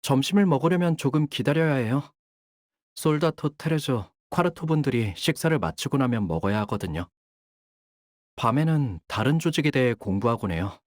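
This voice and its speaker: A man's voice: medium pitch, no echo, studio quality, close to an everyday voice. Man acting young syndicate soldier, widely swinging intonation. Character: young male syndicate soldier, dutiful, polite, coldly menacing. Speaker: game character voice actor. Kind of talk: acted character voice lines.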